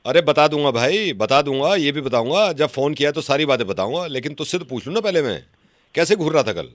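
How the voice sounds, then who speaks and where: Man, high lively voice, radio prank caller, badgering and repetitive, mock-offended. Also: brisk, dry recording. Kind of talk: improvised prank phone calls.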